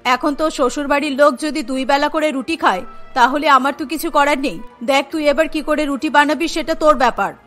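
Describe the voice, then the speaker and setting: A woman's voice: high-pitched, fairly quick, fairly bright tone, pitched below the performer's own voice. A woman voicing a stern middle-aged mother scolding her daughter in a cartoon. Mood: exasperated scolding. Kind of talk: cartoon story dialogue. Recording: music behind the voice.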